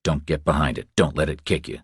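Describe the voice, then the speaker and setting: A man playing a big, tough, gruff man who talks in firm, terse phrases in an audiobook. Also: a bass voice, brisk, dry recording, clean studio sound, exaggerated voice. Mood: firm, wary.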